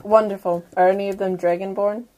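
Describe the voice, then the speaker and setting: A woman improvising in character as a player in a tabletop role-playing game, brisk and no-nonsense. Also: medium pitch, steady tone, very noisy.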